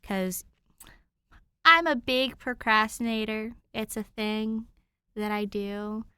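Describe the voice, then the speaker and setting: A young adult woman reading fan fiction as an amateur narrator, moving between expressive storytelling and casual chat. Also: a little high, slow pace, clear, resonant tone, dry recording, studio quality.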